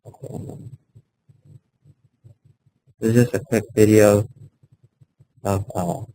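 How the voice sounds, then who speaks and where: A fairly low-pitched man gives an informal workplace software training talk. He explains things plainly and haltingly, checking in as he goes. There is clear background noise in the room. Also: steady tone, poor audio. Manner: halting, plain-spoken explaining, often checking in with "okay" and "right". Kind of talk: informal instructional presentation. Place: indoor room, live talk to colleagues.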